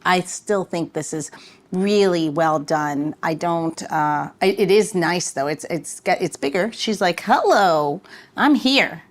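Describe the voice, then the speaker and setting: A woman's voice: on the low side, slow pace. A woman hosting a casual interview podcast in a playful, conversational manner. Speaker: podcast host.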